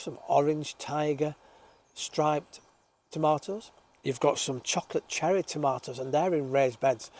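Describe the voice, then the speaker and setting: A man hosting an allotment gardening show outdoors, chatting cheerfully to viewers and reading their comments, his voice still a little croaky after an illness. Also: fairly high pitch, darkish tone. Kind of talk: casual chat to camera. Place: outdoors on an allotment, birdsong around.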